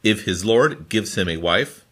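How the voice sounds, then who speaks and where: A man reading scripture from a formal translation in a deliberate, phrase-by-phrase manner. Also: on the low side, lively intonation, fairly bright tone. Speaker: scripture reader.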